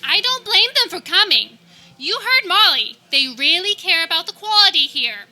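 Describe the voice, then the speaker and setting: A woman playing a cheery, gushing wife who gently chides, in a comedic radio play. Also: extremely high pitch, very animated, normal speed, full character voice. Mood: delighted.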